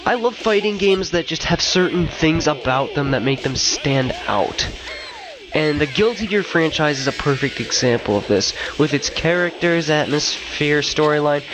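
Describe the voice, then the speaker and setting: A man's voice: a little high, animated, normal speed, over music, rough recording. A young man with a congested, stuffy voice from a cold, reading a casual, slangy, enthusiastic video game review. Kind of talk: scripted game review voice-over.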